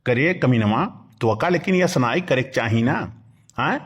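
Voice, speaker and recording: A man's voice: medium pitch, expressive, normal speed, slight background noise, lightly acted. A man voicing a blunt, grumbling village uncle with an Awadhi accent in a cartoon comedy, nagging as he talks.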